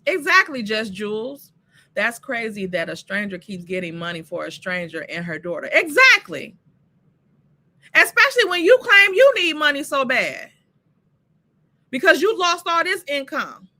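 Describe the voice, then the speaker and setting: Bright, sassy woman host. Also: sits high, big pitch swings, normal speed. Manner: sassy, exasperated, laughs often. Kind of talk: casual live commentary on reality drama.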